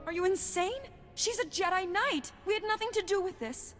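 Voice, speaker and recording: An actress playing a frightened young research worker and anxious survivor in a video game, hesitant and emotional. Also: female voice, very high voice, moderate pace, fairly bright tone, long reverb tail, soft background music. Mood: panicked. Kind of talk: video game cutscene acting.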